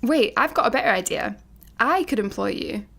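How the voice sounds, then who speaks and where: A woman's voice: on the high side, animated, normal speed, vocal fry, bright, forceful tone, heavily acted. A woman with a Scottish accent playing a blunt, guarded young adventurer with dry sarcasm in a sci-fi comedy radio play. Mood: dry, breezy. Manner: casual, dry.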